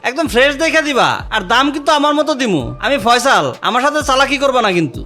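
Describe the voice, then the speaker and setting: Pompous, quarrelsome cartoon uncle, acted, rapid-fire and bright, Bangladeshi accent, faint music underneath. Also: sits high, animated. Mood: boastful, bossy. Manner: pompous bragging. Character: boastful, stingy, quarrelsome village uncle. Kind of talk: Bengali cartoon voice-over.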